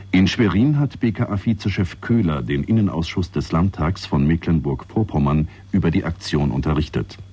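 Very deep, dark-voiced male newsreader. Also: breathy edge, no room sound, muffled recording. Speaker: radio newsreader. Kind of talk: formal scripted news bulletin.

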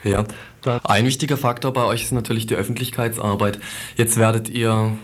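A woman's voice: extremely low pitch, steady tone, a little fast. A woman conducting an informal radio interview, attentive, with frequent listening murmurs and simple questions.

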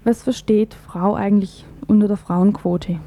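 A woman's voice: mid-range voice, fairly even intonation, measured pace, mellow. A woman radio interviewer putting questions in a conversational way.

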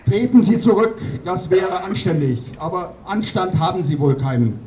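A man delivering a political speech at a protest rally in an emphatic, accusatory, declamatory way with deliberate phrasing. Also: sits high, a little mellow, highs cut off, some chatter behind. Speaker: activist doctor speaking at a protest rally. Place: outdoor rally. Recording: distant outdoor mic with clear noise.

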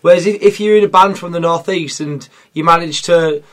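A man's voice: fairly high pitch, brisk, a bit bright. A man from England, a band's drummer, chatting in a friendly way during an interview. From North East England. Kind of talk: interview chat.

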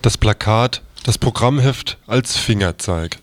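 A man with a slightly low voice reads a written review aloud. The delivery is scripted, as a quotation from a newspaper, and the sound is dry with no room on it. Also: a little slow, no room sound. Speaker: reader of quoted articles.

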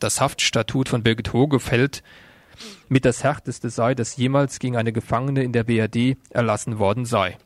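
A man's voice: mid-range voice, no echo. A man presenting a radio news bulletin, reading from a script in a studio.

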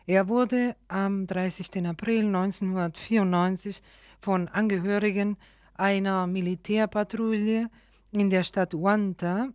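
A woman presenting radio news, reading a report haltingly with stumbles and pauses. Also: mid-range voice, steady tone, a little mellow, no room sound, clean studio sound. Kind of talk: read-out human rights news report.

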